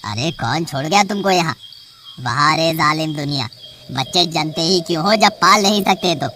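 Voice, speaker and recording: A gruff grumbling snake-charmer man. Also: deep voice, lively intonation, normal speed, pushed and bright, a little hiss or hum. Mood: indignant. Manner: blunt and irritable, grumbling to himself. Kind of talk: cartoon voice acting.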